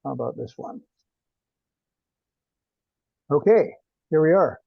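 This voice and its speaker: A man giving an informal technical presentation on a conference call, with hesitant, halting delivery. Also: a little high, lively intonation, gravelly, breathy edge, no room sound. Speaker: presenter of research findings. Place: teleconference. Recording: dull, dry, band-limited conference call.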